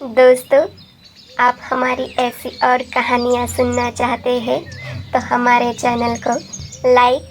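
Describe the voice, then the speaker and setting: A woman narrating a cartoon story in a measured, storybook manner, then addressing viewers. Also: on the high side, completely flat delivery, a little hiss or hum.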